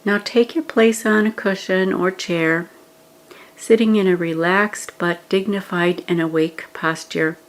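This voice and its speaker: A woman leading a guided meditation, giving scripted instructions in a gentle, measured manner with pauses. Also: medium pitch, steady tone, poor audio.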